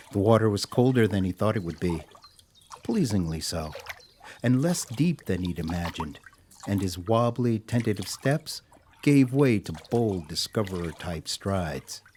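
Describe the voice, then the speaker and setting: A man narrating a scripted audio drama as a storyteller, with a measured, wry, theatrical delivery. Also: on the low side, darkish tone, close to an everyday voice.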